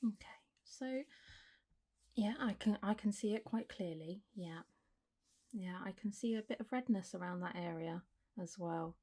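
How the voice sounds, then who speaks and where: A woman playing a calm, reassuring doctor in a soft-spoken ASMR roleplay, gently drifting in and out of whispers. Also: medium pitch, unhurried, close to an everyday voice. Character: calm, reassuring female clinic doctor for zombie patients. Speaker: ASMR performer.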